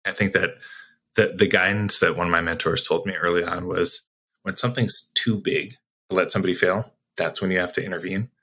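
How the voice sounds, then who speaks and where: A man's voice: low-pitched, steady tone, brisk, pushed and bright, husky. A man answering interview questions as a sales executive guest, talking conversationally in a reflective, explanatory way.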